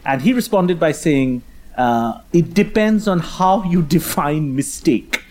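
A man's voice: fairly high pitch, expressive, slow, background noise. A man from India chatting as a comedy panellist in a conversational, mock-earnest way. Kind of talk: satirical comedy chat.

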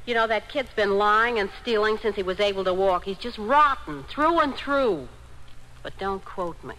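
A woman with an American accent playing a nagging, scornful young wife in an old radio drama, dismissive and sharp-tongued. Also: mid-range voice, animated, normal speed. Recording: faintly noisy old radio broadcast.

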